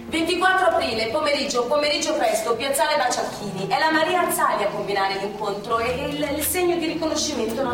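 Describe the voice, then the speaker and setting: A woman's voice: on the high side, close to an everyday voice. An actress playing an agitated wartime woman partisan, telling her story in an urgent theatrical monologue that repeats phrases. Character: Lia, woman partisan courier in wartime Milan, agitated narrator. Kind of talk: theatrical monologue with acted dialogue. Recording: distant echoey stage recording with noise.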